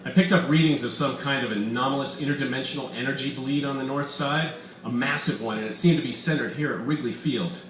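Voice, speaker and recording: A man voicing a forceful, indignant female professor in a story reading, stern and formal, in an echoey room. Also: mid-range voice, fast talker, natural voice. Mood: urgent. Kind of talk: live short-story reading, character dialogue. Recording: echoey room, distant mic, background noise.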